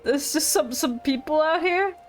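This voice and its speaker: A woman's voice: high voice, normal speed, faint music behind. A woman streamer giving casual, giggly live game commentary with wry asides.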